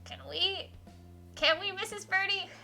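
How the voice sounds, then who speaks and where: A woman's voice: very high voice, measured pace, gravelly, bright, forceful tone, pitched above the performer's own voice, heavily acted. A woman voicing a polite, eager little boy in a visual novel, hesitant and childlike in manner. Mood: eager, pleading.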